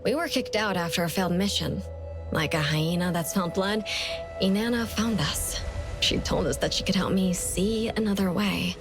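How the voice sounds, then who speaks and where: An actress playing a wry, world-weary female hunter in a game, composed and deliberate in her speech. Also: mid-range voice, over music, natural voice. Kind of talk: game character dialogue.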